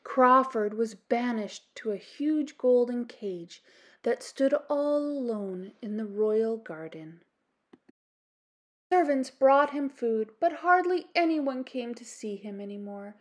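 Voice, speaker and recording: A woman with a Canadian accent reading a children's storybook aloud as an expressive storyteller. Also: fairly high pitch, slow pace. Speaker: storytime host, reader. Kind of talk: children's storybook read-aloud, scripted narration.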